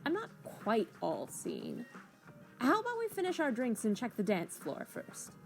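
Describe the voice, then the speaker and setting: Woman voicing a slow, coaxing feline sprite. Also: on the high side, wildly swooping pitch, soft background music, exaggerated voice. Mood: wry. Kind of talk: hobby voiced comic drama.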